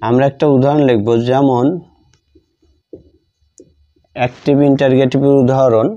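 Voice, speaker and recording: A man with a Bengali accent teaching English grammar, dictating as he writes and repeating each phrase deliberately, in a dull lo-fi recording. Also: medium pitch, fairly even intonation, mellow. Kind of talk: grammar lesson, dictating while writing.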